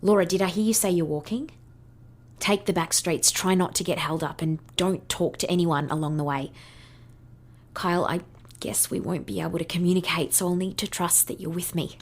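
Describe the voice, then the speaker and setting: A woman's voice: lowish voice, moderate pace. A woman playing an earnest, determined team leader in an audio drama. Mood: decisive, organised. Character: Vanessa, brilliant, guilt-ridden woman leading the team, determined. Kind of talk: audio fiction acting.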